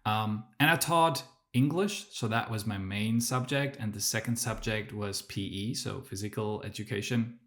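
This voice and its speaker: A man's voice: lowish voice, moderate pace, few pauses, fairly bright tone. A man whose native language is German, telling his story as a podcast guest, with many fillers and restarts. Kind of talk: casual interview storytelling.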